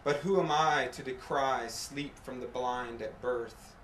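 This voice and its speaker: A man reads poetry at a slow, deliberate pace, setting short phrases apart with pauses. His voice sits at a middle pitch. The room is echoey, with faint noise behind him. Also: a bit bright. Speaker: poet reading own work. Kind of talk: poetry reading. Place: apartment room at a live reading.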